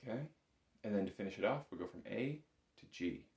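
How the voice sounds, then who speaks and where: A man's voice: lowish voice, expressive, fairly quick, creaky, darkish tone. A man giving a step-by-step music lesson as an instructor, talking in an informal, patient, encouraging way. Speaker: bagpipe instructor. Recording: roomy, echoing room sound.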